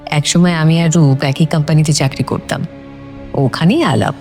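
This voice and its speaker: A woman's voice: sits low, steady tone, normal speed, darkish tone, lightly acted. A woman playing an educated, self-possessed expatriate wife who gives brief answers with English words mixed in, in an audio drama. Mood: composed. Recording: faint music behind the voice.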